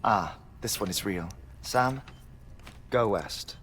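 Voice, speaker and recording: An actor playing an earnest scientist in a game cutscene, speaking in a measured, scholarly way. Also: male voice, lowish voice, noisy background. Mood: cautious. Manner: measured, scholarly, precise, lecturing. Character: brainy, earnest male scientist lecturing on theory. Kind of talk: video game cutscene acting. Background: game score.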